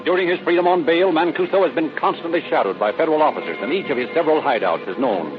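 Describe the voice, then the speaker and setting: Fast, dramatic male radio narrator. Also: fairly high pitch, lively intonation. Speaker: narrator and announcer. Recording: dull old radio broadcast. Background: music.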